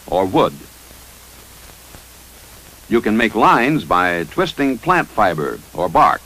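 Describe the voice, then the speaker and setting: American man, lively 1950s training film narrator. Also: mid-range voice, poor audio. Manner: measured, instructive, authoritative. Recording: 1955 training film soundtrack.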